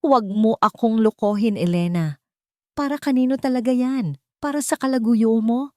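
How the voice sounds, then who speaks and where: Casting: bitter drunk husband, rough and accusing, storybook melodrama, performed by a female narrator, dry recording. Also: fairly high pitch, moderate pace, dry recording, voice pushed up, close to an everyday voice. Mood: angry, accusing. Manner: shouting accusations, contemptuous. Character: angry, drunken, accusing husband in his prime.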